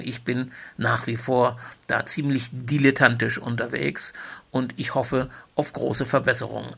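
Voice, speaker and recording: A man's voice: medium pitch, vocal fry, bright, forceful tone. A man hosting a podcast, speaking conversationally as interviewer and announcer, in chatty, wordy phrasing.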